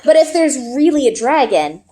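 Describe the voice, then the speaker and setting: A woman's voice: high-pitched, sing-song, moderate pace, mellow, slight background noise. A woman reading a story aloud expressively, as a teacher would, acting out the dialogue.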